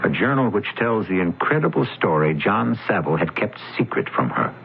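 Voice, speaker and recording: A man's voice: sits low, sing-song, fairly quick, gravelly, slight background noise, heavily acted. A man narrating a radio drama in a grave, theatrical, scripted style. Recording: dull radio recording, loud music.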